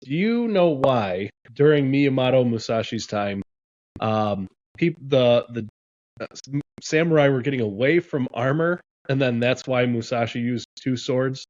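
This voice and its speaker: Casting: male co-host, mid pitch, halting questions, dull dry recording. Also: expressive, darkish tone, no echo. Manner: hesitant, many ums and restarts. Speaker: podcast co-host. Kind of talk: casual interview chat.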